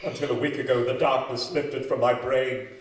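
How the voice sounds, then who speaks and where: An actor playing a tormented, grandiose young scientist in a theatrical, impassioned old-time radio horror melodrama style. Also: male voice, mid-range voice, steady tone, normal speed, close to an everyday voice. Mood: subdued, anxious. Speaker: actor in a live radio drama. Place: live stage in a community hall. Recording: echoey hall, distant mic, faint noise.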